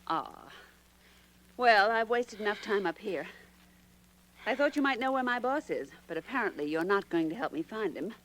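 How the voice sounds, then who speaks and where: An actress playing a brisk, sardonic secretary turned sleuth in 1940s radio style. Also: female voice, mid-range voice, expressive, a bit bright, close to an everyday voice. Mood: dry, impatient. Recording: noisy old radio recording.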